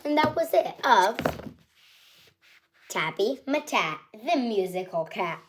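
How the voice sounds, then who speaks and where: A woman reading a children's picture book aloud with expressive storytelling, ending with a request to subscribe. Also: mid-range voice, slow pace.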